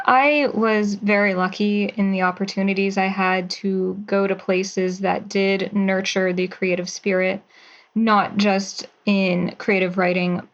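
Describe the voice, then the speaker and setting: A young woman in her twenties, a writer, answering slowly and thoughtfully in an interview. Her voice comes through somewhat dull and muffled, like a remote call. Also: mid-range voice. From Pennsylvania, USA. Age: 20s. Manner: measured and thoughtful, with some hesitation fillers. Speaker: writer and guest.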